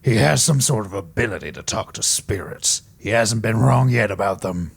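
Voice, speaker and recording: A man voicing a gruff, matter-of-fact male pony with a gravelly, husky voice in an audio drama. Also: on the low side, animated, normal speed, heavily acted. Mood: assured.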